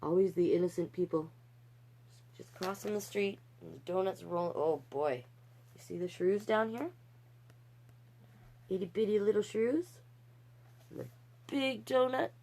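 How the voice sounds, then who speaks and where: A woman hosting a livestreamed storytime, reading picture books in an animated way with chatty, encouraging asides to viewers. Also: mid-range voice, a little slow, vocal fry, long pauses. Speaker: storytime host and reader.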